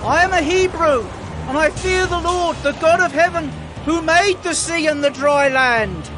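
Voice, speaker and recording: An actor playing an anguished, devout Hebrew prophet in a dramatized audio Bible, with a solemn, declamatory delivery. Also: male voice, very high-pitched, unhurried, music in the background, crowd noise. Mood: fearful confession.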